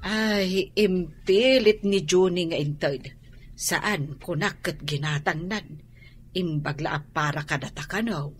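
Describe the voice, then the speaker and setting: A woman's voice: lowish voice, slow, a little hiss or hum. An actress playing an inquisitive, talkative mother of grown children in a radio drama, with an Ilocano accent. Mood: matter-of-fact.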